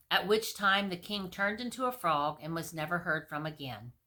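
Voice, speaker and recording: A woman storyteller reads a comic tale aloud in a fairly low, bright voice. Her pitch moves around a great deal, giving the narration an animated, expressive feel.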